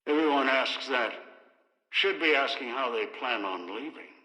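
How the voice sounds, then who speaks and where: An actor playing a bitter, scheming old man in a video game, speaking in clipped, deliberate, menacing phrases. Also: mid-range voice, expressive, pushed and bright. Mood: cynical, instructive. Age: older. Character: bitter, scheming old man obsessed with pre-war technology. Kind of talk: video game character dialogue. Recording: echoey, long reverb.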